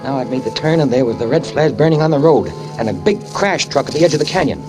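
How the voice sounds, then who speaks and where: A man's voice: mid-range voice, lively intonation, quick pace, lightly acted, poor audio. An actor playing a guilt-ridden male hit-and-run driver who narrates tensely in the first person, in a 1940s radio drama. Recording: old radio broadcast with music.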